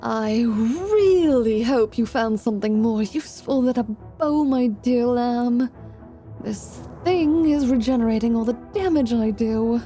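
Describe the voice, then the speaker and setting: A woman's voice: a little high, measured pace, mellow, soft background music, lightly acted. A woman voicing a proud, spiteful half-vampire paladin with a teasing, haughty manner in a fantasy audio roleplay. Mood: defiant. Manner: sardonic, haughty.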